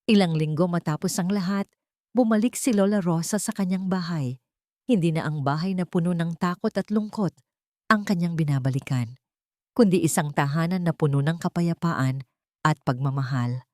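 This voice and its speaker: Low-pitched woman narrating a sentimental story. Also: normal speed, a little mellow, no echo, clean studio sound. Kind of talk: scripted dramatic story narration.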